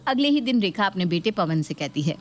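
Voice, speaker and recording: A woman's voice: mid-range voice, big pitch swings, fairly quick. A woman narrating a scripted family story.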